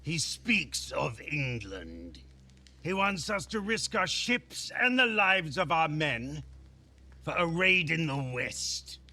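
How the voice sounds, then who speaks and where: An actor playing a sneering, stubborn Viking jarl in a video game, with a gravelly voice. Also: male voice, fairly high pitch, sing-song, slow, sharp tone, full character voice. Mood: scornful. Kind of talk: cinematic video game acting.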